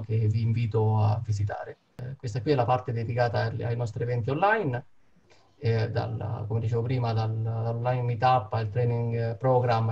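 A man's voice: medium pitch, vocal fry, dark tone. A man from Italy hosting an online meetup with an informal introduction over a video call. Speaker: meetup host and moderator. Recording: dull video-call audio.

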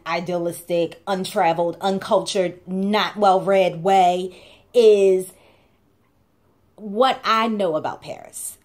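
A young woman talks straight into her camera from a small room. Her delivery is chatty and playful, with emphatic repeated phrases that drive each point home. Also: fairly low pitch. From Baltimore, USA. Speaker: blog co-host. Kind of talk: casual talking-to-camera vlog.

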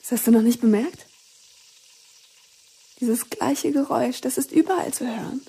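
Radio play: anxious, haunted young daughter, very breathy, soft dark tone. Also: female voice, on the high side, moderate pace, heavily acted. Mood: haunted dread. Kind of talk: German radio drama acting.

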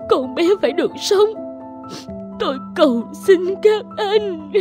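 A woman's voice: extremely high pitch, lively intonation, measured pace, music in the background. A woman voicing a loving, anxious mother in a cartoon, speaking in a tender, pleading way. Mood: distraught. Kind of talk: animated cartoon dubbing.

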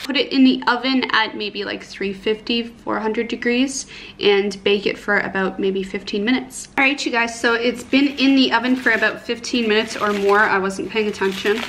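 A young woman with a fairly high voice chats casually as a vlogger. She is bubbly and pokes fun at herself in an informal way. She records indoors at home, with noticeable room noise behind her. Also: fairly even intonation, normal speed. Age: 20s. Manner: bubbly, self-deprecating, informal. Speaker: beauty and lifestyle vlogger. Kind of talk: casual chatty vlog.

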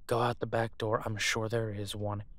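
A man's voice: on the low side, little pitch movement, normal speed, fairly bright tone, close to an everyday voice. A man acting an embattled, protective supernatural fighter in an audio roleplay, urgent and commanding. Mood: urgent, insistent.

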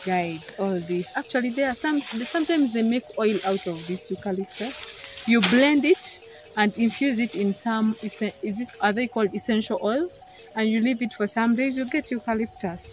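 A woman's voice: on the high side, normal speed, music in the background, low-quality recording. Young woman vlogging outdoors. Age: young adult. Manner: chatty, explaining plants to viewers. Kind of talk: casual vlog walkthrough. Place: outdoors in a rural field. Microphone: phone camera, often held at arm's length.

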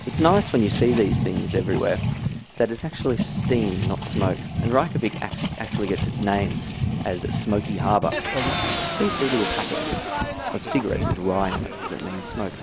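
A dull, lo-fi recording with loud noise carries an Australian man's low voice. He narrates a trip casually, chatting and explaining as he goes in an informal, unscripted way. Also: lively intonation, normal speed. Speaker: travel video narrator.

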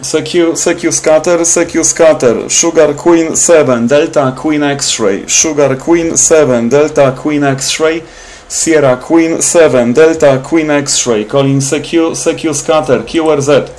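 A man's voice: on the high side, steady tone, measured pace, a little mellow. A man working as an amateur radio operator, making clipped, repetitive contact calls with phonetic call signs. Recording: noisy room with radio static.

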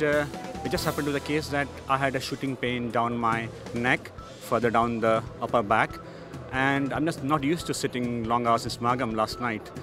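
A man giving a testimonial into a handheld microphone. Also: medium pitch. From lives in Scotland. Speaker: interviewee, event attendee. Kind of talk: on-camera testimonial. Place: large busy tent camp. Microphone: handheld reporter microphone.

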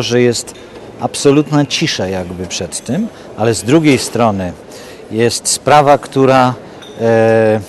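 A man's voice: medium pitch, a little mellow. Reflective man with faint noise behind. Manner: halting, repeats phrases for emphasis. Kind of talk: reflective interview commentary.

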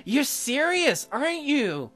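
A man's voice: extremely high pitch, very animated, slow pace, a bit bright, soft background music, voice pushed up, heavily acted. A man voicing a doubtful, loving young woman in a visual novel, hesitant and anxious. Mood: incredulous. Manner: hesitant, incredulous.

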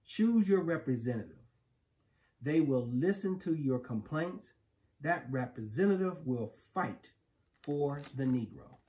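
Middle-aged man, American accent, picture-book read-aloud, lively pitch swings, unhurried pace. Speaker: storybook reader. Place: living room, indoors on a couch. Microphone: camera a few feet away.

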